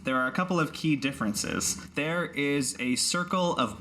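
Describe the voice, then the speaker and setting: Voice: medium pitch, expressive, normal speed, fairly bright tone, a little hiss or hum. A game master narrating a tabletop game off the cuff in a casual, explanatory way.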